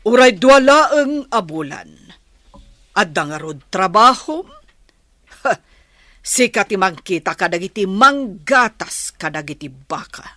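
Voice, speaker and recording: Casting: woman as bossy Filipino aunt for radio drama, hard-hearted, commanding; slow delivery, mid pitch, dry sound. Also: big pitch swings, fairly bright tone, no room sound. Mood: stern, scolding. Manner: stern, commanding. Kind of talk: Ilocano radio drama acting.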